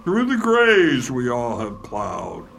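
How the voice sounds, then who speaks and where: A man playing a bombastic sham necromancer in a radio play, chanting theatrical spells in a grandiose, gravelly voice. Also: mid-range voice, wildly swooping pitch, takes it slowly, fairly bright tone, a little hiss or hum, heavily acted. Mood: incanting, dramatic. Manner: grandiose, incantatory, rhyming. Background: music.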